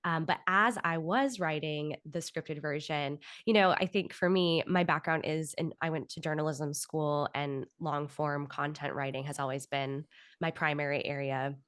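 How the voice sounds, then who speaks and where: Low-pitched woman, close dry sound. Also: fairly even intonation, moderate pace, fairly bright tone, dry recording. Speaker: podcast guest, writer and audio drama producer. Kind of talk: conversational interview, explaining own work.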